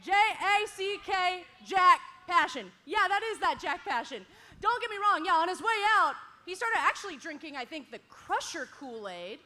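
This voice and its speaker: Sarcastic woman, very high bright voice. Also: lively intonation, moderate pace. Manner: sarcastic, mocking, needling. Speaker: talk segment host, wrestler. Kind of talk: wrestling talk show promo. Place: indoor arena.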